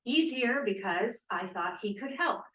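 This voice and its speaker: A woman's voice: mid-range voice, animated, a little slow, a bit bright. A woman playing a bossy, unflappable, sardonic college student in an informal table read. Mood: firm, sardonic. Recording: echoey room, distant mic.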